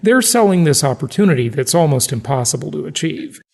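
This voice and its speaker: American man hosting, gravelly and echoing. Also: on the high side, big pitch swings, brisk, a little mellow. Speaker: podcast host, real estate investing. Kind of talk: conversational podcast talk.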